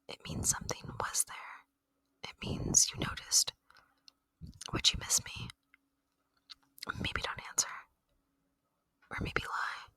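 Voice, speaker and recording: Asmr person doing a soft lonely alien, all whispered, bright airy hiss, tender and reflective roleplay. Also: dry recording. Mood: wistful, soothing. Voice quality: whispered, breathy. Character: gentle, wistful, lonely ancient alien visitor.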